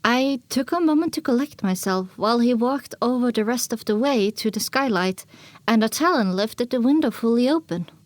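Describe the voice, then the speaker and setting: A woman's voice: fairly high pitch, lively intonation, moderate pace, dry recording. A woman reading scripted first-person story narration for an audio drama.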